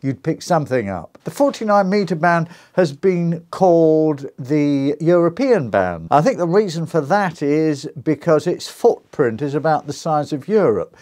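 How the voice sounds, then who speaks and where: A man's voice: on the high side, very animated, measured pace, hardly any pauses, darkish tone. A British man in his sixties presenting a casual, informative vlog in a chatty, musing way, asking rhetorical questions. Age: 60s. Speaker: YouTube presenter on shortwave radio. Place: small indoor radio den full of vintage sets. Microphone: small clip-on lapel mic.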